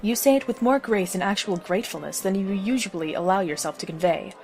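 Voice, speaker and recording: A woman reads scripted story narration in the second person. Her voice is medium in pitch for a woman. Faint music runs behind her words. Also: lively intonation, brisk, darkish tone.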